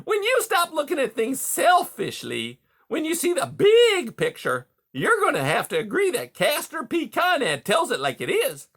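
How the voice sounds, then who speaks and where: A smarmy, jovial male beaver, talks in a high, loud, swooping voice. He rattles off folksy sayings with an oily salesman's cheer, a gravelly edge under it. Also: wildly swooping pitch, moderate pace, hardly any pauses, full character voice. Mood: smarmy hearty. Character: hearty, smarmy, big grinning male beaver.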